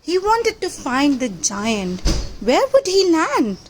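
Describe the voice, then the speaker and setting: A woman reading a picture book aloud to young children, with simple deliberate phrasing and questions to the listeners. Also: high voice, huge pitch swings, a little hiss or hum. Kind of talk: children's read-aloud storytelling.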